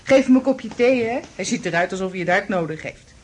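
A woman's voice: mid-range voice, expressive, a little fast, a little mellow, a little hiss or hum, close to an everyday voice. An actress in a Dutch radio play playing a bossy, motherly landlady who speaks in an insistent, familiar way. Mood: teasing, motherly.

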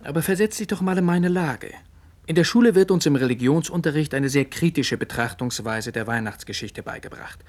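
An actor playing a rebellious nineteen-year-old son in a radio play, earnest, critical and argumentative. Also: male voice, on the high side, fairly quick, darkish tone, close to an everyday voice. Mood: defensive, earnest. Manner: emphatic, argumentative. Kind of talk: late 1960s radio play acting.

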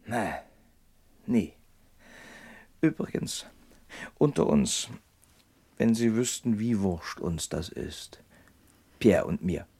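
A man's voice: deep voice, animated, takes it slowly, creaky, long pauses, mellow, breathy edge, heavily acted. An actor playing an evasive man justifying himself to a police inspector in a German radio crime drama. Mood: guarded.

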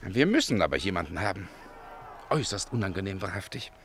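An actor playing a pompous, capricious Roman emperor in a German radio play, theatrical and commanding. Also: male voice, low voice, big pitch swings, fast, a bit bright, slight background noise, full character voice. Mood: brisk, amused. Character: capricious, grandiose Roman emperor, swinging from rage to flattery.

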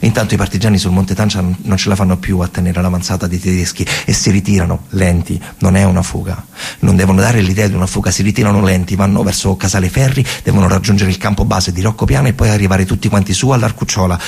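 A very raspy, low-voiced man with a central Italian accent performs narrative theatre for radio. He builds the story dramatically in short, emphatic phrases, often repeating them, with a gravelly creak in the voice. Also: steady tone, fast, darkish tone. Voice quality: gravelly, husky.

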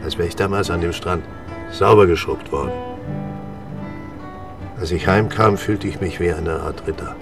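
Deep-voiced old detective. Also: male voice, moderate pace, long pauses, recorded from a distance, music in the background. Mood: solemn, reflective. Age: 70s. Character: 76-year-old retired detective, proud idealistic war veteran. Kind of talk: German radio drama acting.

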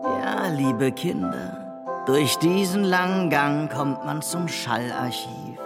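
A woman's voice: low voice, expressive, creaky, over loud music, heavily acted. A woman playing an indulgent, mysterious guide telling children a story in a radio play. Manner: deliberate, addressing children.

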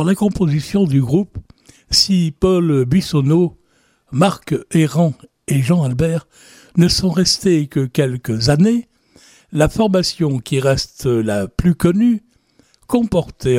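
A man's voice: fairly high pitch, darkish tone, dry recording, clean studio sound. A man hosting a radio music show, chatting casually to his listeners and correcting himself as he goes. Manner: chatty, addresses listeners directly; muses aloud and corrects himself. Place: radio studio.

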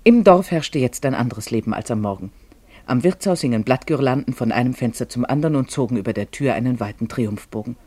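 Husky male radio-play narrator, very dry sound. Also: mid-range voice, a little mellow, dry recording. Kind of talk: scripted literary narration for radio drama.

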